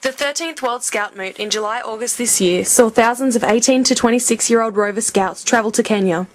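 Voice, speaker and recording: A woman's voice: fairly high pitch, a little fast. A woman reporter reading an upbeat, scripted voice-over for a news piece.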